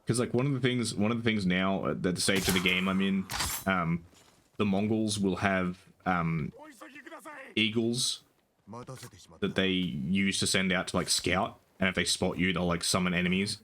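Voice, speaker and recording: A young man with a soft, soothing voice, chatting casually while streaming a game, rambling with lots of ums and casual swearing. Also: sits low, fairly even intonation, moderate pace, slight background noise. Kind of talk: casual gaming stream chat.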